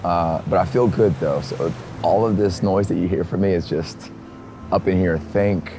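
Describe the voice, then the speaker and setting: A hoarse man vlogging casually outdoors at a race venue, rambling and upbeat with lots of fillers. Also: low voice, moderate pace. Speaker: triathlete filming his race. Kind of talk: casual vlog to camera. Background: music.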